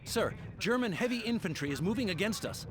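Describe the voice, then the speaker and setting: A man playing an alert, dutiful soldier giving brisk, deferential reports to his commander in a video game. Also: sits high, expressive, heavy background noise. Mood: urgent reporting. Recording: loud music and battle sounds behind. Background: music, artillery and vehicles.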